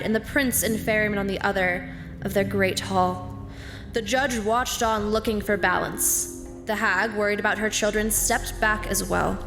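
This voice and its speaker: A woman playing a scholar who reads fantasy lore aloud in a measured, solemn storybook manner for an audio drama. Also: medium pitch, lightly acted. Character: female scholar reading aloud from ancient tomes. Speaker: voice actor reading lore. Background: music.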